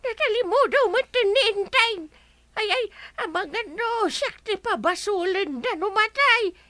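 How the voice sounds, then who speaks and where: A woman voicing a fussy old village woman in a radio comedy drama, with a shrill, drawn-out voice. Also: lively intonation, sharp tone, no echo, full character voice. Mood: wailing.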